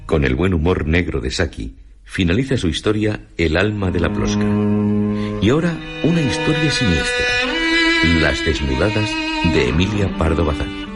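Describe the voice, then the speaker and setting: An actor playing a sombre teller of sinister tales, emphatic and portentous in radio style. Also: male voice, low-pitched, big pitch swings, moderate pace. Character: sombre male storyteller and presenter of sinister tales. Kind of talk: dramatised radio storytelling. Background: faint background music.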